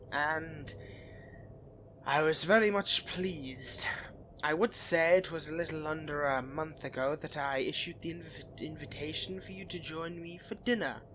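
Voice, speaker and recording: A man's voice: fairly high pitch, a bit bright, a little hiss or hum, low-quality recording. A man playing a suave, scheming vampire aristocrat in a dramatic roleplay addressed to the listener, with courtly, haughty, theatrical phrasing.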